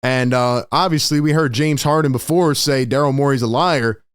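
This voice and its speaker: An excitable man hosting a live sports reaction, his voice slightly hoarse from yelling yet still smooth and resonant. He talks in bursts of exclamation, recorded close and dry. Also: medium pitch, moderate pace, no room sound. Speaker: sports podcast host.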